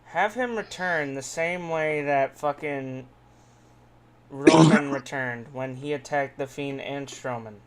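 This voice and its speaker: A man's voice: mid-range voice, slow pace, slight background noise. A man co-hosting a podcast, talking casually and teasingly with plenty of swearing. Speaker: wrestling podcast co-host. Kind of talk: casual group chat.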